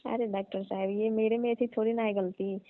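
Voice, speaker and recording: A woman's voice: mid-range voice, level tone, brisk, no room sound, lightly acted. A woman playing a lovesick, flirtatious village woman with a Bihari accent, coaxing and coy in a comic phone call. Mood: defensive. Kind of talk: comic prank-style phone call, conversational. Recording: muffled narrowband phone call.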